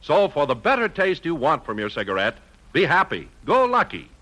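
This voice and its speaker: An American man announcing scripted 1950s radio copy as a pitchman. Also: wildly swooping pitch, moderate pace, bright, forceful tone.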